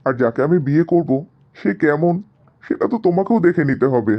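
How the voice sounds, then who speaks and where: Cartoon young man in love, male performer: fairly high pitch, earnest, dutiful, lo-fi muffled recording. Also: lively intonation, brisk. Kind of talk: cartoon story dialogue. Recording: dull, muffled lo-fi sound.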